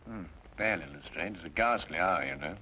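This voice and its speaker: An actor playing a shrewd gentleman detective, languid and drily amused, in an old-time radio drama. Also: low voice, animated, a little fast, slightly rough, heavily acted. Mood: drowsy. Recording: muffled, noisy old radio recording.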